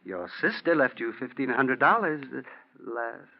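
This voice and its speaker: An actor playing a meek middle-aged clerk, hesitant and stammering, in 1940s radio style. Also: male voice, mid-range voice, big pitch swings, moderate pace. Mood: timid, flustered. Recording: muffled vintage 1940s radio recording.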